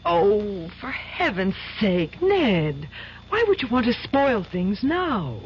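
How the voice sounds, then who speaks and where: A teasing, coaxing young woman in an old radio mystery play. Her voice rises and falls with lively swings as she charms and then presses. The broadcast sounds dull and lo-fi with a faint hiss behind it. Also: mid-range voice, takes it slowly. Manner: playful and coaxing, turning insistent. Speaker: lawyer's girlfriend and boss's daughter. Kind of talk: 1970s radio drama acting.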